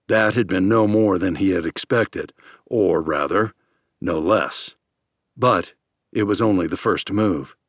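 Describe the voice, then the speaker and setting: A man's voice: fairly low pitch, no room sound. A man reading a novel aloud as scripted dramatic narration in a close studio recording. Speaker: audiobook narrator.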